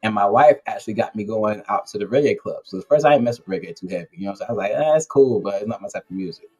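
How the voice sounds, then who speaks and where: A man's voice: fairly low pitch, racing. A man hosting an interview, telling a story in a loose, slangy way and acting out what people said. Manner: animated, slangy, acts out quotes. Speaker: interview host. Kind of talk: casual storytelling chat.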